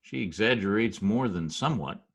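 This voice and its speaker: A man's voice: fairly low pitch, lively intonation, moderate pace, slightly rough. A man playing a wry, skeptical Broadway wise guy in a 1940s-style radio drama, wisecracking in stiffly formal slang. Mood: skeptical, sardonic. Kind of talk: old-time radio drama, present-tense slangy narration and dialogue. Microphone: video call recording.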